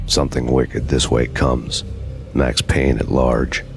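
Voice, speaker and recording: Very deep, deadpan noir cop. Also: male voice, slow pace, vocal fry, heavily acted. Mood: grim, brooding. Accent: American. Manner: deadpan, brooding. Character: world-weary wanted New York cop narrating his story. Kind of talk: hardboiled noir narration. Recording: music behind the voice.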